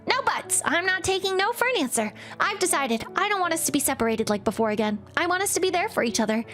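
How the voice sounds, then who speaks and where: A woman voicing a bubbly, caring young woman friend who talks in a bright, insistent way. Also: high-pitched, voice pushed up.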